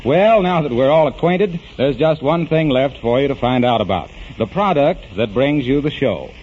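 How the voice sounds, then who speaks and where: American male announcer, folksy pitchman patter, lively swings, muffled vintage radio sound. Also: moderate pace, a little hiss or hum. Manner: folksy, salesmanlike. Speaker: announcer and sponsor spokesman.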